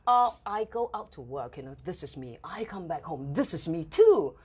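A middle-aged woman with a Hong Kong accent chats as an interview guest. Her voice sits a little low but swings widely. She tells her stories playfully and acts out the small scenes in them. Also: moderate pace, breathy edge. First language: Cantonese. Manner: animated, playful storyteller acting out little scenes. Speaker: guest, TV and radio host. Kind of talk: casual interview chat.

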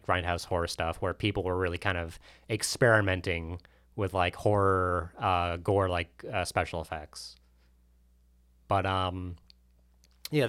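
Guy with a deep smooth voice, rambly movie chat on a podcast, lots of little asides. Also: a bit bright. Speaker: podcast co-host. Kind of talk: casual movie discussion.

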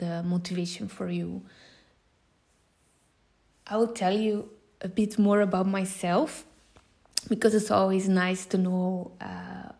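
A woman's voice: lowish voice, vocal fry, mellow. A woman in her thirties from Belgium, a native Dutch speaker with a Belgian Dutch accent, giving an informal, unscripted and earnest podcast introduction. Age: 30s. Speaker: podcast host. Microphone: phone recording.

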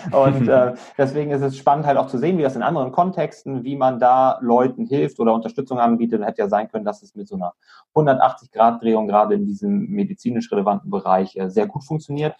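A man hosting a conversational interview in a measured, reflective, polite way, with muffled sound. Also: medium pitch, warm tone. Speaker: podcast host, interviewer.

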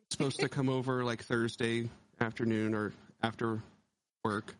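A man of mid pitch hosting a podcast in casual banter. He tells stories with energy, teases his co-host and swears often. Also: measured pace, slight background noise. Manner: animated storyteller, teasing, heavy swearing. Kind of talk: casual chat.

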